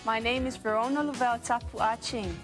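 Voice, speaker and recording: A woman's voice: fairly high pitch, fairly even intonation, fairly quick, music in the background. A nineteen-year-old woman proudly introducing herself as a contestant. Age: teens. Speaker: pageant contestant.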